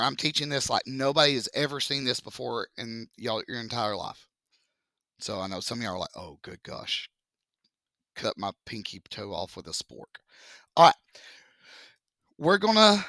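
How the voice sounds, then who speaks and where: A man's voice: medium pitch, bright, forceful tone, no room sound, clean studio sound. Joking teacher man with a froggy voice. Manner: joking, chatty, slow step-by-step explaining. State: deep froggy voice from a cold. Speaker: math teacher. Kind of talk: casual classroom lesson video.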